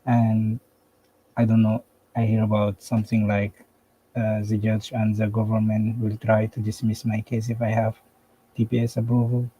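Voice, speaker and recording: A man's voice: on the low side, completely flat delivery, moderate pace, dark tone, slight background noise, low-quality recording. A man from Sudan calling into a live stream, speaking hesitantly with many fillers. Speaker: caller.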